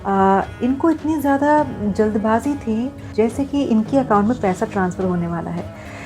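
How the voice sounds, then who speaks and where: A woman's voice: fairly high pitch, expressive, normal speed, over music. A woman talking to viewers in an earnest, explanatory vlog style, mixing in English phrases. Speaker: vlogger.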